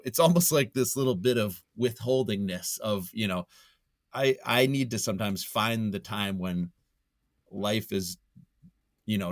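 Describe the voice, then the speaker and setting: Low-voiced man thinking aloud in an interview. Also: dry recording, clean studio sound. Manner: halting, thinking aloud, circles back to repeat phrases. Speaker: podcast guest, nonfiction writer. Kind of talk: casual reflective interview answers.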